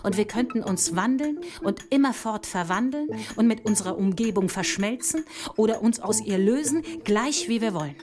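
A woman playing a thoughtful, imaginative mathematician, speaking deliberate poetic lines in a literary audio drama. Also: mid-range voice, animated, lightly acted. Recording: music under the voice. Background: music.

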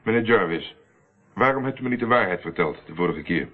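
An actor playing an assertive, dry, unflappable investigator in a Dutch radio drama, on a muffled old recording. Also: male voice, deep voice, expressive, brisk. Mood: probing. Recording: muffled, band-limited old radio play.

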